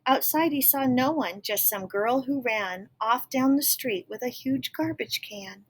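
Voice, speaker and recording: A woman's voice: high voice, a little slow, slightly rough. A woman reading a children's picture book aloud expressively, with explanatory asides to young listeners. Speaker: storybook reader.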